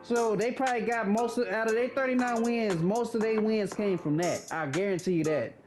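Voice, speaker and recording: Thirty-year-old man, high voice, casual blunt gamer commentary over music. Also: brisk. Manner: laid-back, blunt, swears casually. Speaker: gaming commentator.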